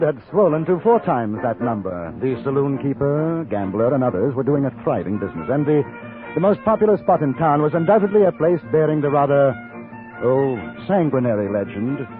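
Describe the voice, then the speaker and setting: An actor with an English accent playing a wry, unruffled gentleman reporter and gunman in a 1950s radio drama. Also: mid-range voice, close to an everyday voice. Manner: urbane, understated, wry. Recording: tinny band-limited 1950s radio, music behind.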